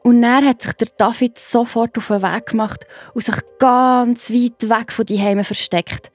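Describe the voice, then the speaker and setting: A woman's voice: a little high, fairly even intonation, a little slow, darkish tone. A woman with a Swiss German accent narrating a scripted Bible story for children. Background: music.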